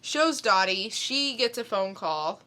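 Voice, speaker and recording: A woman with a bright, clear-cut voice recaps a story on a podcast. She takes her time, conversational and a little halting. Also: mid-range voice, expressive. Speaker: podcast co-host. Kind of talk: casual comic plot recap.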